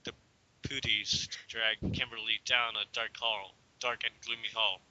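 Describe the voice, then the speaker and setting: A man's voice: fairly low pitch, level tone, a little slow, bright, forceful tone. A young man giving an amateur dramatic reading of a story, stumbling now and then and slipping into casual asides. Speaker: reader. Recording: dull lo-fi home recording.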